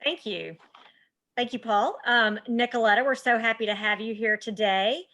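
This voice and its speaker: Woman hosting a webinar, upbeat and encouraging, voice a bit on the high side. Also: normal speed, fairly bright tone. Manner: enthusiastic, encouraging. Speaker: host. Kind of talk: webinar interview questions.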